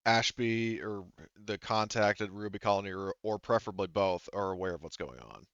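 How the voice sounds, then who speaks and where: A man with a low, clean voice acts as game master, talking players through scenes in loose, informal language. He is recorded dry and close, with a slightly dull tone. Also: moderate pace, fairly bright tone, clear, resonant tone, no room sound. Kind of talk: casual tabletop game narration.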